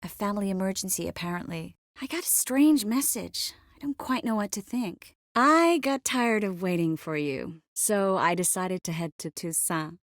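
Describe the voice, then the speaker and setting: A woman voicing a witty, caring, self-assured young sorceress in a video game, often dropping to soft, intimate murmurs. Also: mid-range voice, lively intonation, moderate pace, few pauses, no room sound, clean studio sound, natural voice. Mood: conversational, composed. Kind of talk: scripted game character dialogue. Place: close studio recording.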